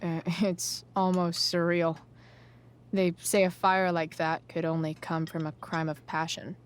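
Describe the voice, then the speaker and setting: Slow, sardonic American teenage girl played by a woman. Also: fairly low pitch, level tone, clear, resonant tone, lightly acted. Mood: dry, needling. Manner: dry, sarcastic, slangy. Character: sardonic, rebellious teenage girl, guarded and cheeky. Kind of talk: naturalistic video game acting.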